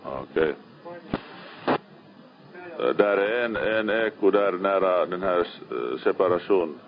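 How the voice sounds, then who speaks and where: A man's voice: deep voice, expressive. A man, speaking as a ship officer coordinating a rescue over marine radio. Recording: muffled, band-limited marine radio transmission.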